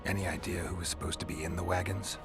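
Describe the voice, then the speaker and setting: Very deep, gruff monster hunter. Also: male voice, fast talker, soft background music. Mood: persuasive. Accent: American. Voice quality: low gravelly growl, breathy at phrase ends. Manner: deadpan, terse, dryly sardonic. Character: gruff, stoic male monster hunter for hire, dry and blunt. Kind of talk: video game voice acting.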